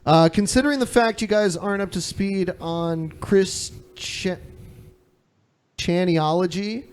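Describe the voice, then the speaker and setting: A man's voice: high voice, unhurried, clean tone. A man co-hosting a podcast, chatting casually and giving his opinions in informal, sweary language. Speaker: podcast co-host.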